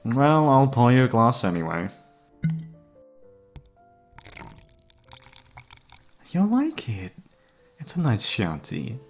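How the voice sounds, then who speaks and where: A man's voice: mid-range voice, huge pitch swings, a little slow, vocal fry, heavily acted. A man acting an obsessive, flirtatious serial killer who holds a detective captive, speaking with teasing mock courtesy in an ASMR roleplay. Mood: coaxing, sly. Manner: teasing, mock-polite, theatrical, savouring words. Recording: background music under the voice.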